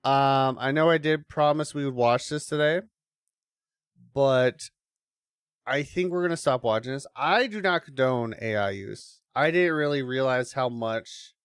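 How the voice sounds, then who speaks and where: A man's voice: mid-range voice, unhurried, clean tone, no room sound. Casual man reacting on stream, dry close sound. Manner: casual, swearing, muttered asides. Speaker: streamer hosting a watch-along. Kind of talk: casual live reaction commentary.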